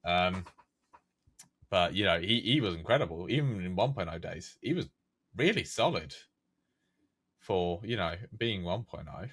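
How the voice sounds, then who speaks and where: A man's voice: sits low, expressive, unhurried, long pauses, fairly bright tone, breathy edge. A man of nineteen, a podcast guest who creates game maps, chatting casually and rambling with many fillers and restarts. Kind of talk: casual chat.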